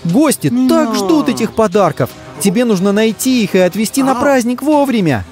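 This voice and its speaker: An expressive children's cartoon narrator who talks to the characters with exclamations and urging, recorded with music behind. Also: mid-range voice, moderate pace.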